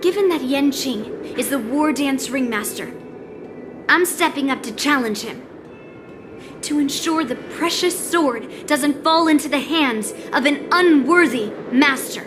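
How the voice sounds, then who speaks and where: A woman's voice: sits high, a little slow, music in the background. A woman voicing a blunt, headstrong, self-assured young girl sword fighter in a video game. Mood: resolute. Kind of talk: video game cutscene acting.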